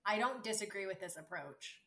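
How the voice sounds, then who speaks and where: A woman in her thirties with a raspy voice, co-hosting a podcast in relaxed, wry banter. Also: mid-range voice, brisk, a little hiss or hum. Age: 30s. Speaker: podcast co-host. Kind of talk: casual chat.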